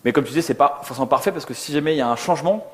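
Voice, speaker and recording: A man's voice: a little high, rapid-fire, darkish tone. A man giving an informal tech talk, colloquial and joking, poking fun at himself as he presents. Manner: joking, colloquial, self-deprecating. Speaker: conference speaker, software architect. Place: live talk before an audience.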